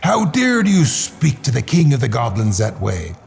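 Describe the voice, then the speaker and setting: A man voicing a menacing, boastful king of the goblins for a storybook, slow and threatening, with a gravelly voice. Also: medium pitch, huge pitch swings, slight background noise. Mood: angry, threatening.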